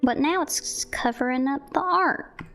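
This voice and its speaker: Bright, high-voiced woman with a Southern twang. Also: unhurried, faint music behind. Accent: American, playing up a Southern US twang. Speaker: streamer showcasing viewer art. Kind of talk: casual livestream chat with viewers.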